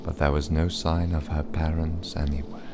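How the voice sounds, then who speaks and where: A man narrating a bedtime story in a dramatic, measured and suspenseful style. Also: very deep voice, little pitch movement, heavy background noise.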